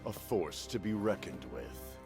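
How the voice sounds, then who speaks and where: A solemn, commanding warlord from a video game speaks in a low voice with a wide, rolling pitch. He drags out every word, slow and deliberate. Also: very animated, creaky, music in the background, exaggerated voice. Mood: grave menace. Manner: slow, measured, solemn delivery. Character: imposing armored male commander, grave and commanding.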